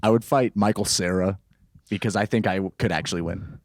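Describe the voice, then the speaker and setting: A man's voice: lowish voice, steady tone, brisk, no echo, studio quality. A young man in a band interview, easygoing and a little hesitant, with lots of fillers. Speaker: band member. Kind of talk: casual interview chat.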